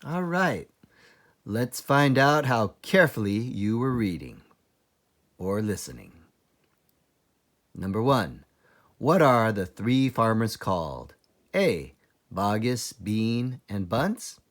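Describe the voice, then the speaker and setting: A man, a teacher librarian, reading a children's book aloud in a deliberate, expressive storytelling manner. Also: lowish voice. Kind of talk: children's read-aloud narration.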